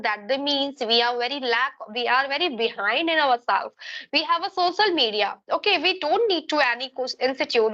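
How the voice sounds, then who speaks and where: Woman, Hindi native speaker, high bright voice, earnest and halting, dull lo-fi call sound. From India. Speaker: English practice partner. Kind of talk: casual practice conversation.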